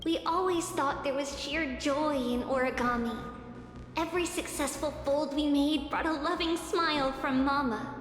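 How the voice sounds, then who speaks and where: An actress voicing a sweet, childlike girl in a video game, gently and wistfully reading a letter like a story. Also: sits high, moderate pace, lightly acted. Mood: wistful, tender. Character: sweet childlike girl who speaks of herself as we. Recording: echoey game voice over music.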